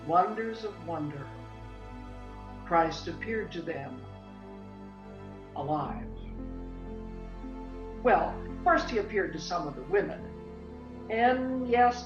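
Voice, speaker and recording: A woman narrating a scripted dramatic story with theatrical, deliberate phrasing. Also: on the low side. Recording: echoey room, slightly dull audio. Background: music.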